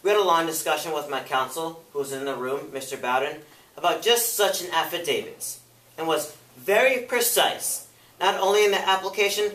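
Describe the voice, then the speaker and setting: Defiant male activist on the witness stand played by a man in a dramatic recital, indignant, oratorical, forceful, echoey. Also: high-pitched. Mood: firm, precise. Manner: emphatic, oratorical, challenging. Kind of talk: dramatic prose interpretation. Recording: echoey room, picked up from far off.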